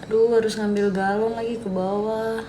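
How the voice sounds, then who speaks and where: A woman's voice: mid-range voice, flat delivery, moderate pace, background noise. A woman chats casually on a late-night livestream, rambling in slang and answering comments as she goes. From Sidoarjo, East Java, Indonesia. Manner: chatty, slangy, rambling, reading and answering viewer comments aloud. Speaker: live streamer. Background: music.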